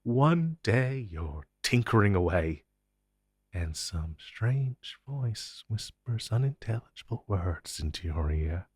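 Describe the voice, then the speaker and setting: A man playing an inhuman monster interrogator in an intense audio roleplay, taunting and condescending, with deliberate theatrical pauses. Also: lowish voice, sing-song, a little mellow, slightly rough, dry recording, clean studio sound, exaggerated voice. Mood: sardonic, confident. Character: menacing male monster interrogator, inhuman, smug and possessive.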